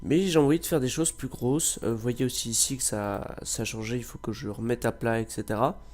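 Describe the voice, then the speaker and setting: A man's voice: mid-range voice, steady tone, brisk, darkish tone. A man with a cold giving casual, rambling gameplay commentary, hesitating often with filler sounds. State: has a cold. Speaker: gameplay video creator.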